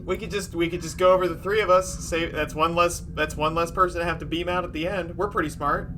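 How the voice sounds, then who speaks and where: A man's voice: on the high side, fairly even intonation, quick pace, pushed and bright. A man chatting casually as a player in a tabletop roleplaying game, with a wry, blunt way of talking. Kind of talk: casual joking roleplay chat. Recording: noticeable background noise.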